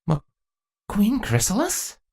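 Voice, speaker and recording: A man voicing a sheepish, awkward male changeling king with a hesitant, halting delivery in a storybook reading. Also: high-pitched, huge pitch swings, creaky, a little mellow, slightly rough, voice pushed up, exaggerated voice. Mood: tentative.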